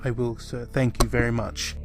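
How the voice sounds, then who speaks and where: A man's voice: medium pitch, level tone, normal speed, over music, lightly acted. A man playing a young, hot-tempered, lovestruck scarecrow in an emotional audio roleplay performance. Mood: meek. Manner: emotional, profane, stammering when cowed.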